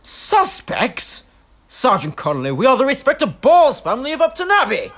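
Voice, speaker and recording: A self-important aristocratic MP, as an actor puts him on who swings dramatically up and down in pitch and huffs at every slight. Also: huge pitch swings, normal speed, fairly bright tone, exaggerated voice. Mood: pompous. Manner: pompous, theatrical outrage. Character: pompous local MP, head of a grand family.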